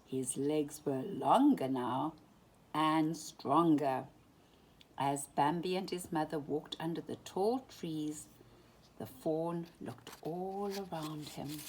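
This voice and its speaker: A woman reading a children's story aloud in an animated, dramatic way, often dropping into hushed tones. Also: sits low, slow, breathy edge, poor audio. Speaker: storybook reader.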